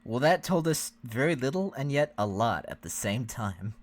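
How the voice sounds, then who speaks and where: A co-host talks casually through a reaction session. The voice sits at a middle pitch, with an easygoing, reassuring way of talking. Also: lively intonation, normal speed, a little hiss or hum.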